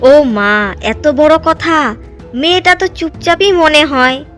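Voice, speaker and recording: A woman's voice: sits high, slow pace, fairly bright tone, faint music behind. A woman playing a curious, gossiping village neighbour in a cartoon drama. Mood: curious, shocked. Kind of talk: Bengali cartoon drama dialogue.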